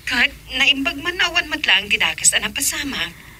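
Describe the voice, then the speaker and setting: Woman playing sharp, bright, worried madam. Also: sits high, big pitch swings, moderate pace, exaggerated voice. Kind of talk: radio drama acting.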